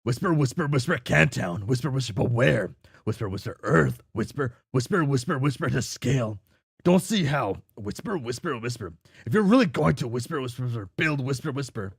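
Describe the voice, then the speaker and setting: A man voicing a gruff, foul-mouthed, secretive alien who is easily irritated, speaking bluntly with theatrical muttering in an amateur comic dub. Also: medium pitch, animated, moderate pace, no room sound, studio quality. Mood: conspiratorial muttering.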